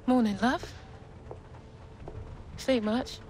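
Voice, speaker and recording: Slow-spoken mum. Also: female voice, fairly high pitch, fairly even intonation, darkish tone, slight background noise. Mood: gentle. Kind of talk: naturalistic video game drama acting.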